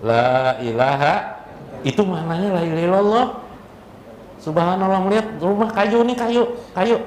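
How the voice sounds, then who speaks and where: A man's voice: high-pitched, normal speed. A man with a Banjar accent giving an informal, jocular Islamic sermon, conversational and full of rhetorical questions. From South Kalimantan, Indonesia. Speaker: Islamic preacher. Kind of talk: informal sermon with jokes, mixing local speech and Arabic phrases. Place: indoor religious gathering. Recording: echoey room with faint background noise.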